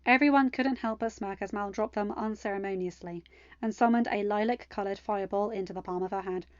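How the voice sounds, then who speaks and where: A woman's voice: medium pitch, brisk, no echo. A woman reading stories aloud as host of a fan fiction channel, on a close, slightly muffled home recording. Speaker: podfic reader and channel host. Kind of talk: narrated fan fiction reading with chatty, excitable sign-off.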